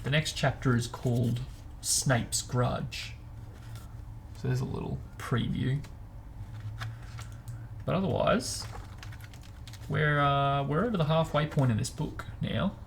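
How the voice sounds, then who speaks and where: A man's voice: mid-range voice, takes it slowly, gravelly, long pauses, slight background noise. A man chatting casually as host of a book discussion, talking in an informal, meandering way as he explains and speculates.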